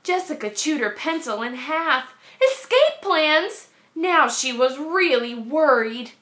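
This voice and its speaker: Animated woman reading a storybook aloud. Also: high-pitched, slow pace. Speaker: kindergarten teacher. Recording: echoey room.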